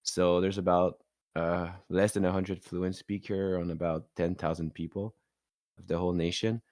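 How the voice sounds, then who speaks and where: A man's voice: low voice, fairly even intonation, normal speed, a little mellow, no echo, clean studio sound. A man with a Quebec French accent, a native French speaker, talking casually as a podcast guest. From Quebec, Canada. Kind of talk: casual conversational talk.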